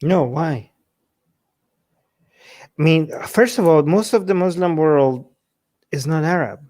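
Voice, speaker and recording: A man co-hosting a casual question-and-answer show, answering viewer questions in a blunt, animated way with many restarts. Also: on the high side, slow pace. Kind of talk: casual Q&A chat.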